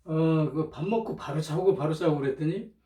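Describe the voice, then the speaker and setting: A man talking in an informal, rambling monologue, hesitant, often clearing his throat, with a slightly strained throat, on a cheap microphone. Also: fairly high pitch, normal speed, mellow. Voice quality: strained throat from chronic irritation. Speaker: lecturer, channel host. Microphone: cheap, low-volume microphone. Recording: roomy, distant cheap microphone.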